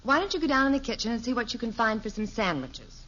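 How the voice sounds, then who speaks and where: A woman's voice: on the high side, big pitch swings, fast talker. A woman playing a practical middle-aged mother in a 1950s radio sitcom, firm and matter-of-fact. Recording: noisy, muffled old radio recording.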